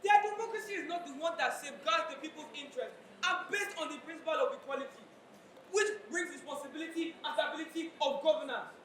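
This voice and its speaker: Sharp, high, indignant chief acted on stage. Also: quick pace, recorded from a distance. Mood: scornful. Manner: forceful, scornful declaiming. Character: blunt, indignant chief warning the monarch. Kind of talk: stage play acting. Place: theatre stage. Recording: very echoey stage, faint noise.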